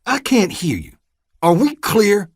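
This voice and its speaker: A man's voice: medium pitch, animated, normal speed, no echo, studio quality, pitched above the performer's own voice, close to an everyday voice. A man voicing a brutal, bullying corrupt deputy who threatens and barks orders. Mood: menacing. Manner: menacing, threatening.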